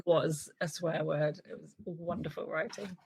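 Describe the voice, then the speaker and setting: Gravelly low-voiced woman author. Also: steady tone, measured pace, a little mellow. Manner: thoughtful, with frequent restarts. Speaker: novelist guest. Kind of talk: casual interview chat.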